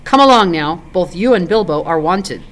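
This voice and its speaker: A woman voicing a grave, kindly old male wizard in a storybook, with measured authority. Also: fairly low pitch, lively intonation, lightly acted. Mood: urgent, brisk.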